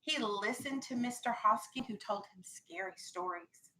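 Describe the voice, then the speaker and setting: A woman teacher reading a storybook aloud to young children, animated, stopping to explain and ask questions. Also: mid-range voice, normal speed, a little hiss or hum, poor audio. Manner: animated, explains and checks understanding. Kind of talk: expressive children's read-aloud with questions to the class.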